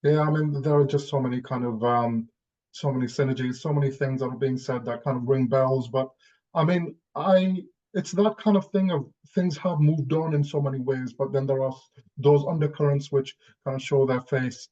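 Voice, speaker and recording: A man's voice: mid-range voice, fairly even intonation, moderate pace, mellow, muffled recording. A man from Newcastle in England sharing personal reflections on a panel, hesitantly repeating phrases as he thinks. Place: online video call.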